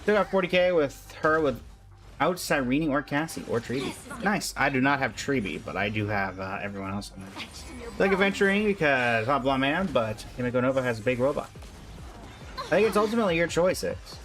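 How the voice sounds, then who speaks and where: A man's voice: medium pitch, expressive, fairly quick, fairly bright tone. A man chatting casually as he livestreams a game, easygoing as he answers and advises viewers. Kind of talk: casual livestream chat and commentary. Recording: game music behind the voice. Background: game music.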